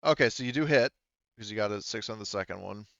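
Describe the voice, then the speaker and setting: A man's voice: on the low side, fast, gravelly, a bit bright, highs cut off, slight background noise. A man acting as game master, casually explaining rules and narrating a tabletop game step by step.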